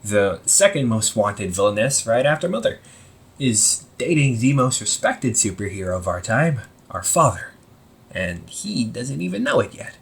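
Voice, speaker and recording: A man playing an anxious, wry young supervillain in a casual audio roleplay, talking informally with exasperated sighs and halting phrases. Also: medium pitch, big pitch swings, creaky, a bit bright. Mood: urgent, confiding. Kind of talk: amateur audio roleplay, casual conversational acting.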